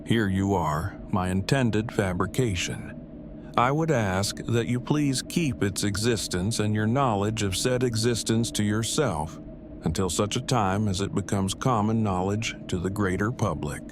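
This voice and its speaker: A man's voice: on the low side, measured pace, background noise, lightly acted. A man playing a stiff, pedantic, earnest inventor in an audio drama, speaking in formal, wordy, precise sentences. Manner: formal, verbose, precise wording. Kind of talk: fan audio drama acting.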